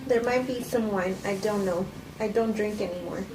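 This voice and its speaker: A woman's voice: mid-range voice, moderate pace, lightly acted. Casting: amateur woman as hesitant, guarded woman in a drama read-through, off-mic, noisy room. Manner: hesitant, understated. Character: quiet, wary woman hosting a killer. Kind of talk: amateur cold table read of a script. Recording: distant, echoey room with clear noise.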